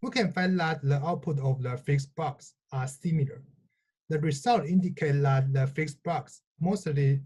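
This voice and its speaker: Slightly high male presenter, dark husky voice. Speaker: researcher presenting a paper. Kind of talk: scripted technical presentation.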